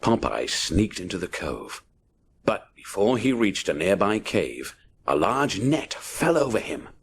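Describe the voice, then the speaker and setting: A man's voice: fairly low pitch, big pitch swings, a little slow, gravelly, slight background noise. A man narrating a children's adventure story dramatically, punching out the action words. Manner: punchy, theatrical sound-effect words.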